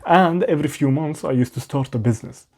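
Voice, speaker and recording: A young man speaks English with a clear foreign accent in a mellow, relaxed voice. He rambles candidly to the camera and pokes fun at himself. It is a casual vlog from a small room at home. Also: on the high side, fast talker. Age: young adult. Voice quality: non-native English accent. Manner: rambling, self-mocking, restarts often. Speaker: vlogger.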